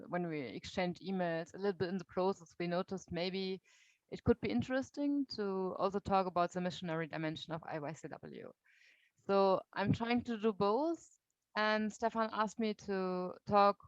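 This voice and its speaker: A young woman with a German accent speaks in a friendly, unhurried way and often pauses on fillers. The sound is dry and close, with a dull, lo-fi tone from a call microphone. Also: lowish voice, no echo. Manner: friendly, searching for words with many fillers. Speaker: guest speaker. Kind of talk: online talk to a meeting. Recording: dull lo-fi audio.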